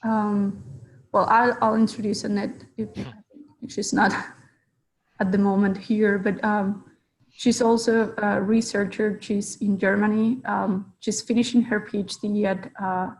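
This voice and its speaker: A woman's voice: mid-range voice, little pitch movement, measured pace, darkish tone, poor audio. A woman researcher and consultant presenting in an online webinar, hesitant, with many fillers. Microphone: video call.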